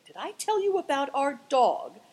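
A woman's voice: sits high, very animated, normal speed, voice pushed up. Woman playing a sly, unruffled male host. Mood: cheerfully teasing. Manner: gentle, evasive, mock-inviting. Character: sly, unruffled man, teasingly polite yurt host. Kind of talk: audiobook character voice.

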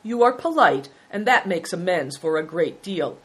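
A woman playing a stern young Mongolian prince in a story, speaking with formal, measured authority. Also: lowish voice, animated, breathy edge.

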